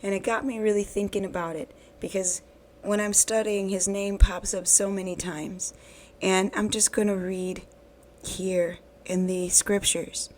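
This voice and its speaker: A woman hosting a bible reading channel, talking earnestly and encouragingly to her viewers while her voice is hoarse from recent illness. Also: medium pitch, flat delivery, measured pace, darkish tone, a little hiss or hum. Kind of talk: casual devotional talk to camera.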